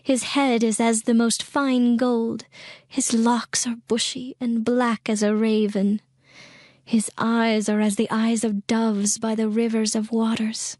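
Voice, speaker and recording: A woman playing a yearning, lovesick young bride in a dramatized scripture reading, with tender, measured delivery. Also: on the high side, darkish tone, no echo, clean studio sound. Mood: adoring. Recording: music under the voice.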